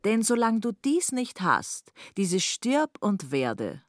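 Slow, swooping female verse reciter. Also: mid-range voice, big pitch swings, no echo, studio quality.

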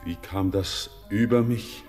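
An actor playing a spellbound young male miner in a classic radio play, speaking in a halting, awed way. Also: on the low side, music in the background. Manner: halting, awed, breaks into desperate cries. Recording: long hall reverb.

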